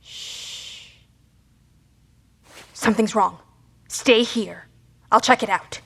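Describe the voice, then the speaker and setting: An actress playing a wary, resolute leader of fugitives in an audio drama, breathy, hushed and terse. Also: female voice, mid-range voice, drawn-out words, exaggerated voice. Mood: urgent.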